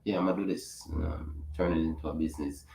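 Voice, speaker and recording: A man who runs a media business, talking in a casual interview in a halting way, full of fillers. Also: extremely low pitch, fairly even intonation, warm tone, roomy sound, a little hiss or hum. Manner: halting, many "you know" fillers. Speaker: guest, media business owner.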